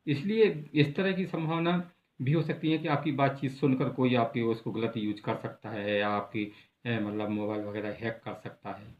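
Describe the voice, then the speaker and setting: An Indian man presenting an unscripted news explainer in a halting, repetitive way, leaning on filler words. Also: mid-range voice, steady tone. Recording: echoey room, thin phone-like sound.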